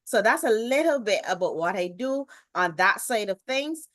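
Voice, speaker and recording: A woman from Barbados in her thirties with a Barbadian accent, a guest telling her story in an interview with expressive emphasis. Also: mid-range voice, moderate pace, no echo, clean studio sound. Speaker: guest, business lifestyle strategist. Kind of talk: interview, personal introduction.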